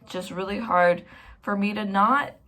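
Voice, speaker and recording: A woman's voice: medium pitch, fairly even intonation, normal speed, fairly bright tone. Young female vlogger, chatty and rambling, self-deprecating, casual talk to camera. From Canada.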